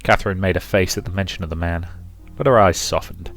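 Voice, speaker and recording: A man narrating a visual novel aloud in an expressive storytelling style as a let's player. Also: sits low, fast talker, faint music behind.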